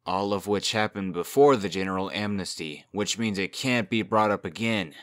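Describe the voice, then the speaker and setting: Man playing a low-voiced, cocky trickster. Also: moderate pace, fairly bright tone, dry recording. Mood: cocky, indignant. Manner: glib, defensive. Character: cocky, defensive young male fairy-tale trickster. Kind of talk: comic dub drama acting.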